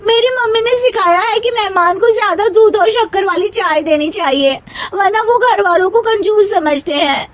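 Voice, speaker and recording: Woman voicing a whiny, high-pitched young daughter-in-law. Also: moderate pace, hardly any pauses. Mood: argumentative. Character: squabbling young daughters-in-law, whiny, indignant, eager to please. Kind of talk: animated moral story character voice.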